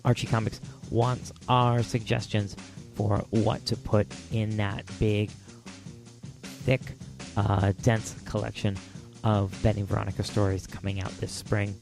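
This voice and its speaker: A man's voice: on the low side, flat delivery, unhurried, vocal fry, music in the background. A man hosting a podcast on his own, talking casually with many fillers and repeats, his voice scratchy as he loses it. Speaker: podcast host. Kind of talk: solo podcast talk.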